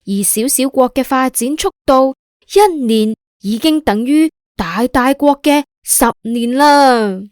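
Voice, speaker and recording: A woman's voice: high voice, takes it slowly, darkish tone, no echo, studio quality. A woman with a Hong Kong accent narrating a scripted children's story in a simple, explanatory way.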